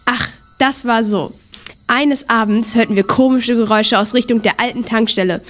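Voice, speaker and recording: A woman's voice: on the high side, moderate pace, natural voice. A female performer playing a boastful young woman survivor in an amateur audio drama.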